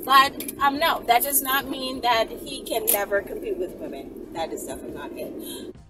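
Sassy high-pitched woman in a car. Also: normal speed, loud music behind the voice. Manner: sassy, emphatic, repeats phrases for emphasis. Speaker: vlogger answering viewer questions. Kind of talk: casual, candid chat to camera. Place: inside a car, often while driving. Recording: noisy car interior, slightly distant mic. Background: vehicle and road noise.

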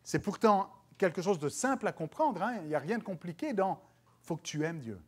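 A man's voice: sits high, lively intonation, fairly quick. A man in his thirties from France, speaking with a French accent, preaching a sermon and lifting his voice on scripture quotes. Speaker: pastor. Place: church stage before dark curtains, indoors.

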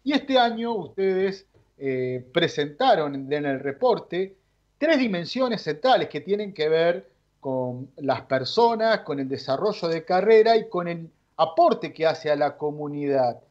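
High-voiced male radio host, rambling questions. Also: animated, measured pace, a little mellow, dull audio. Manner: long winding questions with "eh" fillers. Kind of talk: radio interview, introducing guest.

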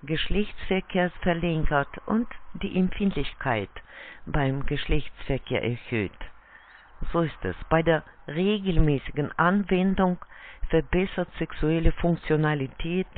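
A non-native German speaker works as a telesales agent, going slowly through a formal, scripted pitch. The phrasing is halting and a little awkward. The call comes through a muffled phone line. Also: a little hiss or hum. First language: not German. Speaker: telephone sales agent.

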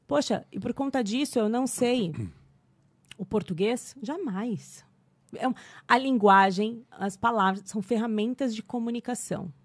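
A Brazilian woman in her thirties, an English teacher, explaining things in an animated podcast chat and laughing often. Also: fairly high pitch, a little slow, no echo. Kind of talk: podcast conversation.

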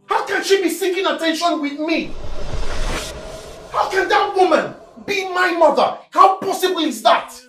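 A man playing an agitated, high-voiced young son. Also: moderate pace, fairly bright tone, faint music behind. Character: agitated young man accused of being adopted. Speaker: adult son.

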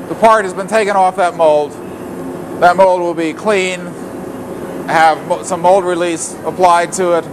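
Male factory guide, slightly high voice, plain explanatory delivery, recorded on a loud shop floor. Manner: plain explanatory, with frequent fillers and restarts. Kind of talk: walking tour narration. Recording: factory noise behind voice.

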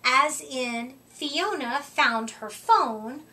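A middle-aged woman reading a rhyming picture book aloud to children, with deliberate, expressive emphasis, recorded a short way from the mic. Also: sits high. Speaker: children's storytime reader. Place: indoor room, seated before a curtain. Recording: voice distant from the microphone.

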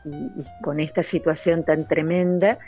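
A woman's voice: low voice, steady tone, unhurried, mellow, soft background music. A middle-aged woman, a novelist, talking earnestly in an interview with many hesitant fillers, over a remote call. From Santa Fe, Argentina. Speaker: novelist guest. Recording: dull, lo-fi remote audio.

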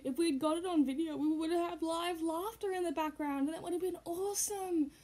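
High-voiced young Australian woman rambling. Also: fairly quick, warm tone. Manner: rambling, full of fillers and laughs. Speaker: vlogger and puppeteer. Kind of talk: casual chat to camera. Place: small room indoors. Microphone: device camera held close.

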